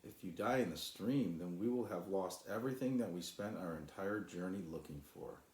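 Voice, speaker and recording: A man's voice: deep voice, animated, normal speed, a little mellow. A man voicing an earnest, hopeful young boy in a children's storybook, with an encouraging manner. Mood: earnest, reassuring. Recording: roomy echo.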